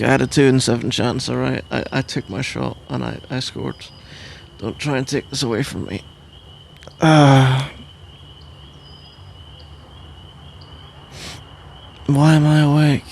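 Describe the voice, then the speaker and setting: A man's voice: medium pitch, creaky, darkish tone, a little hiss or hum, lightly acted. A man playing a sleepy, grumbling, playful husband in an ASMR roleplay, rambling and bantering with mock indignation. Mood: groggy, confused. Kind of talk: ASMR roleplay, improvised-sounding one-sided conversation.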